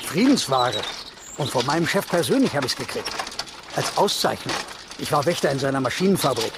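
A man's voice: mid-range voice, very animated, fairly quick, noisy background. An actor playing a proud, boastful former factory watchman in a radio drama. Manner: boastful storytelling.

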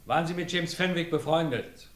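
An actor playing a brisk prosecutor questioning a witness in a radio play. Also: male voice, fairly high pitch, lightly acted. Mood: probing. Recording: roomy studio.

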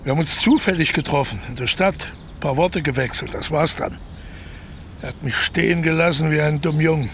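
Creaky-voiced scheming small-time crook. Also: male voice, on the high side, fairly quick, a bit bright, a little hiss or hum. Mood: dismissive, resentful. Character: scheming, embittered ex-convict man plotting a lucrative burglary. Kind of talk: naturalistic German radio play dialogue.

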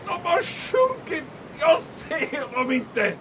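An actor playing an excitable, melodramatic naval man in an audio drama, panicky and lamenting. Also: extremely high pitch, full character voice. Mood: grief-stricken. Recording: distant mic, noisy crowded outdoor scene.

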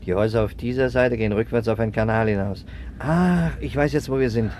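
An actor playing a brusque, authoritative police inspector in a 1960s German radio crime drama, brisk and commanding. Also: male voice, mid-range voice, a little mellow, close to an everyday voice. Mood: focused, instructing. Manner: brisk, commanding, matter-of-fact. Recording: faintly noisy 1960s radio drama recording.

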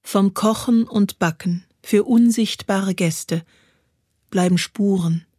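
A woman performing a literary poetry recitation in a measured, deliberate way with dramatic pauses. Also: mid-range voice, dark tone, dry recording, clean studio sound. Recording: music behind the voice. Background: music.

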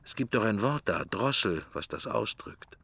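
A man's voice: fairly low pitch, animated, normal speed, gravelly, bright, forceful tone, husky, no echo, exaggerated voice. An actor playing a wistful middle-aged man musing inwardly in clipped fragments, in a literary radio drama. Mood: hushed, wistful.

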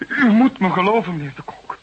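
An actor playing a desperate, defensive young murder suspect of about twenty, nervy and halting under interrogation in a crime drama. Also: male voice, high voice, animated, lo-fi sound, heavily acted. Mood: pleading, distraught. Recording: clearly hissy background noise.

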